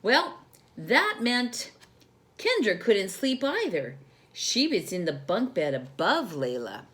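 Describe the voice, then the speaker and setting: A woman reading a picture book aloud to children in an expressive, teacherly way, putting questions to her young listeners. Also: medium pitch, slow pace.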